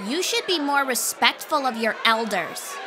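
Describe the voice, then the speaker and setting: A woman's voice: sits high, lively intonation, normal speed, noisy background, pitched above the performer's own voice. A woman voicing a bold, fearless young girl in an audiobook, eager and blunt. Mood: scolding. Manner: quipping, bold. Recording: music bed behind.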